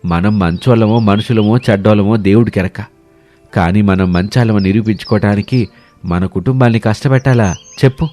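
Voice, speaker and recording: A man's voice: lowish voice, moderate pace, warm tone, natural voice. A man acting cautious village friend, timid, doubting, rustic, storybook dialogue, light music behind. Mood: worried, doubting.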